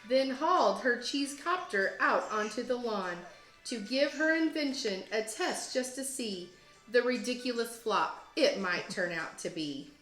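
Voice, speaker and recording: A woman with a slightly high voice reads a children's story aloud like a teacher. Her phrasing is expressive and deliberate. The room is clearly echoey, with music playing behind her. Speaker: teacher, guest read-aloud host. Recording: roomy echo, music behind. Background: storybook app music.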